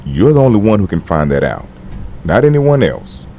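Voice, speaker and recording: Male actor as gravelly veteran, mellow and low, gentle halting delivery, audio drama, faint background noise. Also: very animated. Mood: earnest, encouraging. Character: gruff, tender veteran man with a grieving past.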